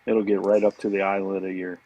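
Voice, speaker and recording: A man's voice: sits low, flat delivery, fast talker, darkish tone, lo-fi sound. A man with a laid-back, slangy way of talking, chatting casually about fishing as a podcast guest.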